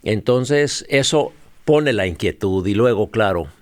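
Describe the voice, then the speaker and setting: A man's voice: lowish voice, lively intonation, measured pace, dry recording. A middle-aged man with a Honduran Spanish accent, telling his story as a podcast guest with lots of fillers. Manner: storytelling, earnest, with frequent fillers. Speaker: guest missionary leader. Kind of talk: conversational podcast interview.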